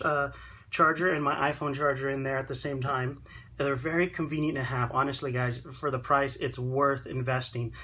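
A man giving a relaxed, chatty walkthrough of his tiny house build, talking into a handheld phone camera in a small wooden room. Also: fairly high pitch, husky. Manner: relaxed, chatty, pointing things out. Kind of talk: casual walkthrough vlog.

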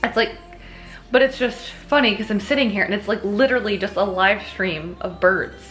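A woman's voice: medium pitch, normal speed, a bit bright, soft background music. A woman in her thirties chatting casually as a home vlogger, in a rambling, conversational way. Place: indoors at home.

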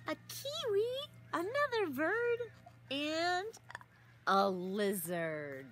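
Lady doing a kids picture book read aloud, super expressive, takes her time, outside w a bit of noise. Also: sits high. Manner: expressive, exaggerated gasps and drawn-out words for children. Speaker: teacher reading aloud to young children. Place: outdoors in a leafy garden. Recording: outdoor recording with some ambient noise.

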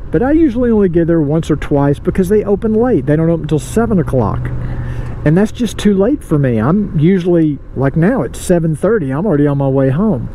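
A man chatting casually to viewers as a motorcycle vlogger, thinking aloud into a helmet mic as he rides. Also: on the high side. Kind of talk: casual rambling chat to viewers while riding. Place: outdoors, riding a motorcycle on suburban roads. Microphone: mic inside or on a motorcycle helmet. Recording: helmet mic with loud engine and road noise. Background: motorcycle and traffic noise.

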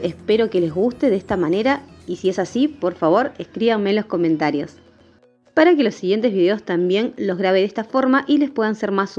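A woman narrates a shopping video as a vlogger. Her voice sits at a middle pitch. The recording is somewhat dull and lo-fi, with music playing behind her. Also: darkish tone. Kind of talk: shopping haul voice-over narration. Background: background music.

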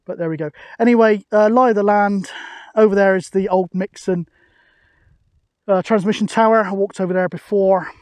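A man's voice: high-pitched, steady tone, normal speed, a little mellow, no echo. A British man chatting casually and matily outdoors, as a radio hobbyist making a video. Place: outdoors on an open hilltop.

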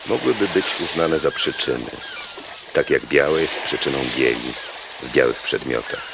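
An actor playing a learned, deferential slave in a radio drama, reciting in a measured, solemn, deliberate manner. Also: male voice, rumbling low, expressive, gravelly, heavy background noise, heavily acted. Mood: solemn reading. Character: learned, deferential male slave reading philosophy aloud.

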